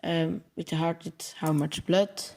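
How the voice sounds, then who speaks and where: A man's voice: a little high, fairly even intonation, a little slow, darkish tone. A young man from Belgium, a native Dutch speaker with a Belgian Dutch accent, explaining his coding project over slides and stumbling over long words. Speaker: programming channel host.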